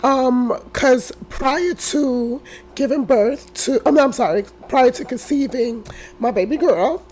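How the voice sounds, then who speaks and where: A woman in her thirties, a podcast guest who is hoarse from a cold. She shares her story slowly, with many fillers. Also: high voice, a little mellow, rough recording. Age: 30s. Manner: slow and unhurried, with frequent fillers. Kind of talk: casual interview, telling her story.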